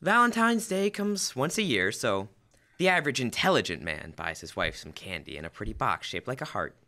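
A man's voice: medium pitch, sing-song, a little fast, sharp tone. Young man as sitcom husband, earnest, flustered, apologetic, old-time radio style, wildly varied intonation. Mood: apologetic, dejected. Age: teens or young adult. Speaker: high school student actor. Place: school library room. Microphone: stand microphone.

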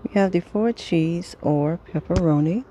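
A woman casually reading through a sales flyer item by item, pausing between phrases in an unhurried way. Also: fairly low pitch, mellow, slight background noise. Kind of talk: casual product read-through.

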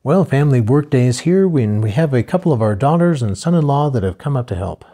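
Older male homesteader, relaxed voice-over, warm low-key tone. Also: fairly quick. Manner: plain, steady, matter-of-fact explaining. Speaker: homesteader narrating a build video.

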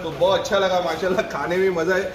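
Chatty high-voiced man in an echoey shed. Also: fairly even intonation, quick pace. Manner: chatty, coaxing others to join in. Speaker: goat farm owner and vlogger. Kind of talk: casual vlog chat. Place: covered goat shed at night, outdoors. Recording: echoey shed with loud background noise.

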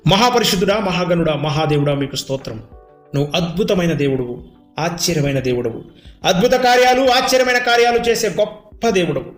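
Male preacher, high voice, emphatic repeated exhortations, echoey sound over music. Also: animated, fairly quick. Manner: emphatic, repetitive exhortation with rhetorical questions. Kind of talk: sermon and prayer.

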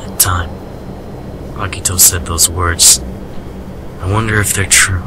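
A man playing a reserved, melancholy young doctor in a radio drama, speaking in a measured, formal way. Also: low voice, fairly even intonation, background noise. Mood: brooding, introspective. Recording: music under the voice.

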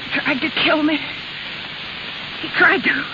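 Carnival woman, high-pitched, 1930s radio play, dull recording with static. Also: fairly even intonation, takes it slowly. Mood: terrified. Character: taunting, frightened carnival woman. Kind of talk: 1930s radio drama acting.

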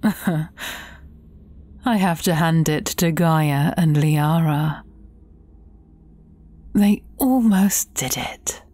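A woman playing a menacing evil sorceress in a fairy-tale audio drama, speaking theatrically and often slipping into breathy whispers. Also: lowish voice, dark tone, no echo.

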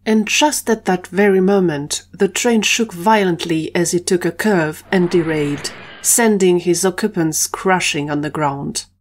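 A woman's voice: lowish voice, a little slow, few pauses. A woman narrator reading scripted storytelling for an audio drama.

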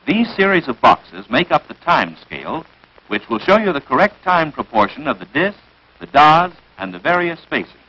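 An American man reading scripted narration for a 1940s military training film in a crisp, instructive manner, on a vintage film soundtrack. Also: a little high, big pitch swings, moderate pace, pushed and bright, low-quality recording.

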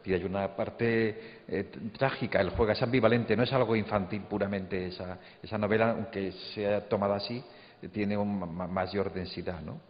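A man's voice: lowish voice, steady tone, normal speed. A man on a panel, giving conversational commentary on literature. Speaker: panel speaker. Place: stage of a large auditorium. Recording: muffled, echoing auditorium sound.

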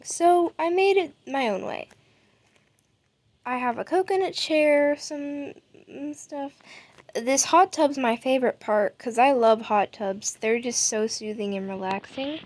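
Woman gamer, high voice, unhurried rambling narration over gameplay. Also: dry recording. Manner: chatty, rambling with fillers. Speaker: gaming YouTuber. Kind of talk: casual game house tour. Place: home setup.

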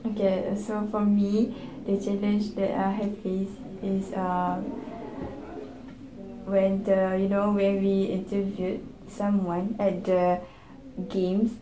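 Young malaysian woman, flat unhurried delivery, halting with fillers, echoey room, noisy background. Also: mid-range voice. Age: young adult. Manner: halting, filler-heavy, tag questions. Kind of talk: student group reflection discussion. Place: indoor meeting room at a table. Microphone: far camera.

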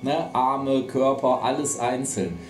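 A man's voice: medium pitch, takes it slowly, reverberant, distant mic, background noise. A man in his thirties co-hosting a casual chat, rambling enthusiastically and full of slang. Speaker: co-host. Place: small hobby workshop indoors.

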